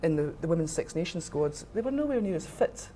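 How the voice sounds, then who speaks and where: A woman's voice: fairly low pitch, big pitch swings, fairly quick, mellow, slight background noise. A middle-aged woman from the west coast of Scotland with a west of Scotland accent, speaking candidly and with firm opinions in an informal interview. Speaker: interviewee, rugby official.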